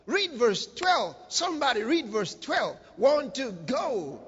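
This is a man preaching in a high, very animated voice that swings widely in pitch. He is dramatic and emphatic, repeating key phrases and putting questions to his congregation. The sound is slightly muffled, with the long reverb of a big church hall. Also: slow, very noisy. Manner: engages the congregation with questions and humour. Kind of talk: animated sermon with scripture reading.

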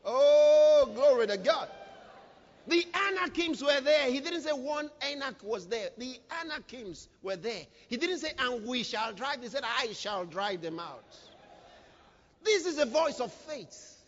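A man's voice: very high-pitched, lively intonation, a little slow, a bit bright. A man preaching a dramatic, emphatic sermon to a congregation, reading scripture and driving phrases home through repetition. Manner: engages the congregation with questions and humour. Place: large reverberant church hall, preaching to a congregation. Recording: muffled, hall with long reverb.

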